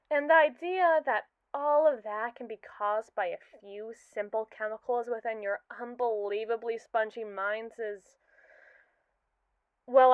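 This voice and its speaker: A condescending, scheming robot AI, voiced by a young woman talks in a wordy, arch and mocking way, leaning on slow, drawn-out words. The voice sits a little high and sounds smooth. It comes through dry and tinny, like a phone line. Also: dry recording, natural voice. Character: haughty, scheming captive robot AI, sardonic and condescending. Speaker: ASMR roleplay performer. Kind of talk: scripted ASMR roleplay monologue.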